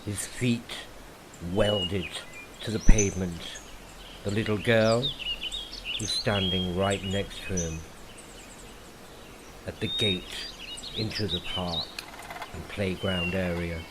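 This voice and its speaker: Male horror narrator, lowish voice, theatrical and unhurried, with deliberate pauses. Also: fairly even intonation, heavy background noise. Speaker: story narrator. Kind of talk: dramatic scripted horror story narration. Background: music.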